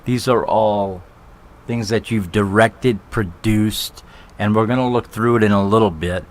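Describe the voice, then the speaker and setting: A man from New York hosting a live talk show, excitable and joking, often repeating himself as he steers the interview. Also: lowish voice, a little slow.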